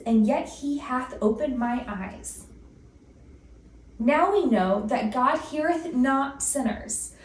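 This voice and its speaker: A woman giving a solemn, deliberate read-aloud of old-fashioned Bible scripture. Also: fairly high pitch, darkish tone, a little hiss or hum. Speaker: scripture reader. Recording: echoey room, distant microphone.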